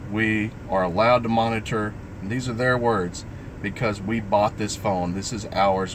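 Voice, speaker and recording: Middle-aged male vlogger, very low voice, halting and earnest personal talk, clear background noise. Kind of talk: personal vlog talk, live stream.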